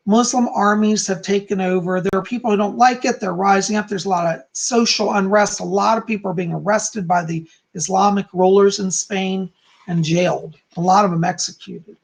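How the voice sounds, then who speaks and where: Hoarse, calm, gravelly-voiced man. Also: sits high. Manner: calm, soft-spoken. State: hoarse, losing his voice. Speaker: psychic teaching about folk magic. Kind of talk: livestream teaching, reading aloud from a book and chat comments.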